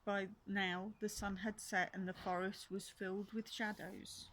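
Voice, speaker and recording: An older woman, a grandmother, reads a children's storybook aloud, adding gentle asides for young listeners. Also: medium pitch, flat delivery, a little slow. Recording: faint background noise.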